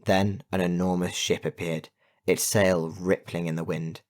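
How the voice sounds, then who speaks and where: A man reading a children's adventure story as an audiobook narrator, in an expressive storytelling manner. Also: low voice, unhurried. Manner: expressive storytelling with put-on character voices.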